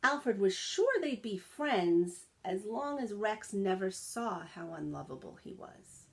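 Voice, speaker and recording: A woman reads a children's story aloud at an unhurried, slow pace. She is expressive and sympathetic, with simple asides for young listeners. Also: mid-range voice, creaky. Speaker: teacher reading a picture book aloud.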